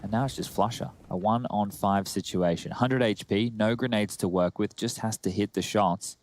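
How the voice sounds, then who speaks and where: A man's voice: on the low side, moderate pace, a little hiss or hum. A man giving analytical commentary on an esports match, explaining tactics.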